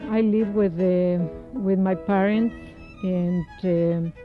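A woman's voice: mid-range voice, fairly even intonation, slow, soft background music. Hesitant woman from Ecuador. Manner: hesitant, with fillers. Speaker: interviewee. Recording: tinny, phone-like recording.

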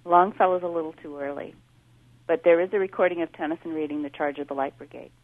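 Brief: female radio guest, deep voice, eager and reflective, dry narrow phone-like sound. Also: steady tone, quick pace, dry recording. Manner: enthusiastic, thoughtful, with restarts. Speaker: studio guest. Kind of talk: radio talk show discussion.

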